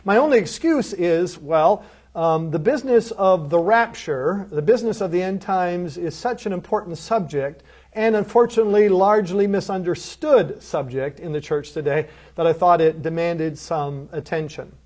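High-voiced man lecturing on preaching. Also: normal speed, darkish tone. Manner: earnest, teacherly, asks rhetorical questions. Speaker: seminary lecturer.

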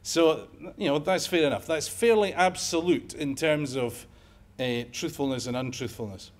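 Scottish guy giving a talk, wry and jokey, kinda high voice, lapel mic. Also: moderate pace. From Scotland. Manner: wry, joking, conversational lecturing. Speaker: emergency medicine professor giving a lecture. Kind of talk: conference talk. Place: lecture room.

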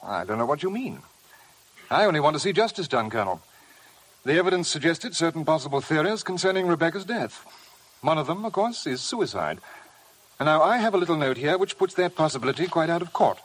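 An actor playing a smug, suave blackmailer with an insinuating, mock-polite manner in a 1950s radio drama. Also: male voice, a little high, sing-song, fairly quick, husky. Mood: feigned innocence. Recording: noisy old 1950 radio broadcast.

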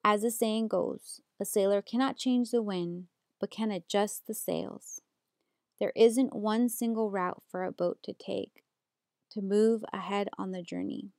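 A young woman from the United States, a graduate student reading a prepared slideshow presentation in a steady, earnest way. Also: mid-range voice, a little slow, no echo, clean studio sound.

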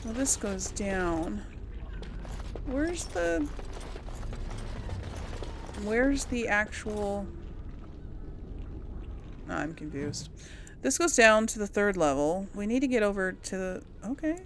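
A woman's voice: medium pitch, lively intonation, slow, pauses often, background noise. A woman giving casual gameplay commentary, thinking aloud in trailing fragments over game music. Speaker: gameplay host.

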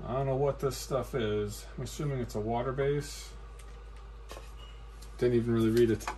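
A man in his forties, a guitar builder, calmly explaining each step of his work in a matter-of-fact how-to narration in his workshop. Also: mid-range voice, normal speed, slight background noise. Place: cluttered workshop indoors.

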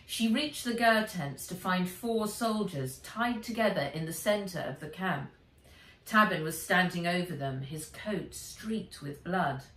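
A middle-aged woman reading an adventure story for children aloud, with expressive, dramatic, emphatic delivery. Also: fairly low pitch, a little slow, far from the mic. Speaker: author reading her own book aloud. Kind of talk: dramatic audiobook-style narration for children. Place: indoors, bright room with armchair. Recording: roomy, echoey, away from mic.

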